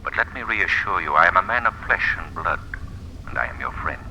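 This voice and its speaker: Mysterious crime-fighting man, low voice, animated delivery, authoritative, deliberate, vintage radio thriller, noisy recording. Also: exaggerated voice. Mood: eerie, calm. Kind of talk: old-time radio drama acting.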